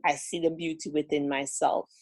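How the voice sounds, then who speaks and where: A woman with a Caribbean accent calls in and talks in a halting, earnest way, full of restarts. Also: low voice, little pitch movement, darkish tone. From the Caribbean islands. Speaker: caller. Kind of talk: casual call-in conversation.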